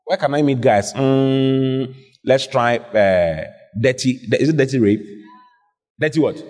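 A man preaching a lively sermon to a congregation, calling out questions and drawing answers back from them. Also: mid-range voice, takes it slowly. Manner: animated, call-and-response with the congregation. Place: church hall. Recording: husky voice in a reverberant hall.

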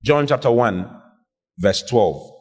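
A man preaching, his voice husky and his pitch swinging widely as he calls questions out to his congregation. The sound carries a long reverb from a large church hall. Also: slow. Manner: animated, call-and-response with the congregation. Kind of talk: lively sermon.

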